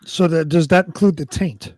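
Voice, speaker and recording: A man's voice: a little high, normal speed, a little mellow, no room sound, studio quality. A man co-hosting a live show in casual, conversational chat. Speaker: co-host of a live online show.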